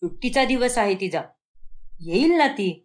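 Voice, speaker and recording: A woman's voice: on the high side, lively intonation, normal speed, voice pushed down, natural voice. A woman voicing a mild, indulgent husband and father in a cartoon moral story, reasoning and placating.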